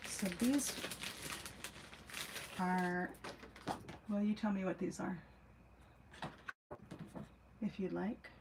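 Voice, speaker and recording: A woman's voice: medium pitch, drawn-out words, long pauses. A woman giving a casual, musing self-help talk as a host, rambling through asides and emphatic repeats. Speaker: host, former teacher. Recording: clearly noisy amateur recording.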